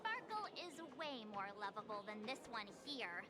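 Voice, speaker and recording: A woman playing a young female traveler in a video game, expressive and drily polite. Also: a little high, normal speed, over music. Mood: exasperated.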